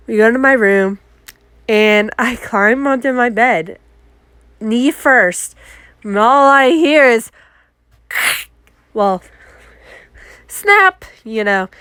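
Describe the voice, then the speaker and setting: A congested woman telling casual, animated stories about her art mishaps as a vlogger. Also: a little high, slow pace, pauses often, smooth, dry recording. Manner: chatty, animated, acts out her own exclamations. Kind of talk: casual story time.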